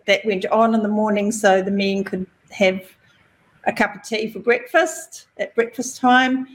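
A woman with a New Zealand accent, a podcast guest telling stories in a relaxed, chatty way. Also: mid-range voice, a little slow. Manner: chatty, relaxed storytelling with fillers. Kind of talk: casual interview chat.

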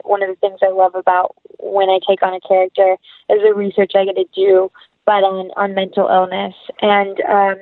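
A woman's voice: medium pitch, no echo, narrow, tinny audio. Chatty woman on a thin call line. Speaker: actress, interview guest. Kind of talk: casual interview conversation.

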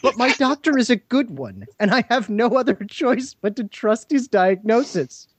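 A man co-hosting a comedy podcast, reading a silly story aloud in a deadpan dramatic style. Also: very high-pitched, normal speed, background noise. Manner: reads with frequent laughs and a mock French doctor voice.

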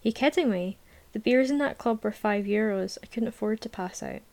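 A woman's voice: fairly high pitch, moderate pace, a little mellow, natural voice. A wry, joking young man , played by a woman reading aloud. He teases with a mock-offended, dry humor. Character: teasing young man student at a party.

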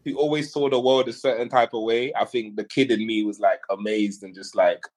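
Husky man chatting casually. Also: fairly low pitch, steady tone, brisk, darkish tone. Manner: exclamatory, slangy, quotes own thoughts. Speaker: interview guest, music artist. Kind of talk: casual chat.

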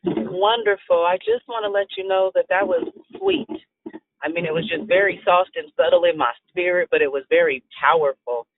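A man calls into a prayer line and gives an earnest, preacherly testimony. His voice sits a little high, and it comes through a thin phone line. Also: sing-song, moderate pace, background noise. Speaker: caller.

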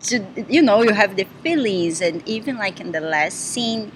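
A woman's voice: mid-range voice, lively intonation, moderate pace, a little hiss or hum, low-quality recording. A woman whose first language is Portuguese, chatting as a podcast guest, laughing often and searching for English words. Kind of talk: casual podcast chat.

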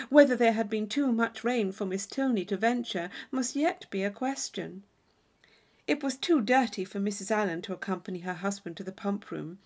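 A woman reading a classic novel aloud as an audiobook narrator, in expressive scripted storytelling. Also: a little high. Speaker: audiobook reader.

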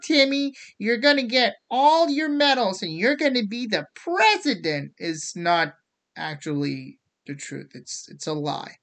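Medium-pitched voice of unclear sex, American accent with hints of Indian, theatrical, sarcastic, casual ranting host. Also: a bit bright. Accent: American with traces of Indian. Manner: theatrical, sarcastic, swearing, acts out mock quotes. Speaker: host of the show. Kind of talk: casual opinionated rant.

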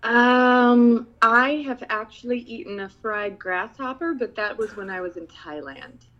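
A woman's voice: on the high side, measured pace, highs cut off. A woman from the Philippines, co-hosting a casual talk show, light and giggly, with many hesitant fillers. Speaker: co-host.

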